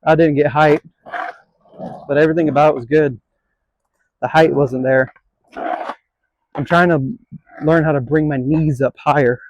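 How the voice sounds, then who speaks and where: A man's voice: a little high, fairly even intonation, normal speed, pauses often, no room sound, highs cut off. A man in his late twenties filming a casual skateboarding vlog, rambling and self-deprecating, swearing now and then. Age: 20s. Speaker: skateboarding vlogger.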